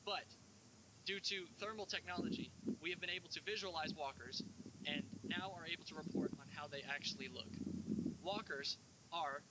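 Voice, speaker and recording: A man playing an earnest, polite park ranger presenting scripted announcements in a cheesy low-budget visitor video. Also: normal speed, lightly acted. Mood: earnest, explanatory. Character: cheery, earnest male park ranger hosting safety announcements. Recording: noisy found-footage park video.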